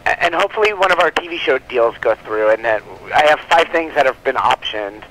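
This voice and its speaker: A man's voice: mid-range voice, expressive, fairly quick, a bit bright, slight background noise, rough recording. A man talking casually as an interview guest, telling humorous stories in a chatty, self-mocking way with frequent "like". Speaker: interview guest, comic book creator.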